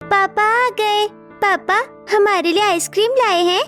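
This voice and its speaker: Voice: very high-pitched, a little slow, sharp tone, faint music behind, heavily acted. A performer voicing a small child in a cartoon story, whiny and pleading. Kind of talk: Hindi cartoon story dialogue.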